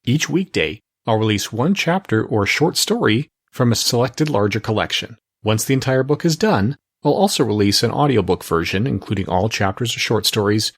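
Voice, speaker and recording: A man's voice: lowish voice, expressive, moderate pace, few pauses, husky, no echo, clean studio sound. A man reading a scripted promo as a podcast host.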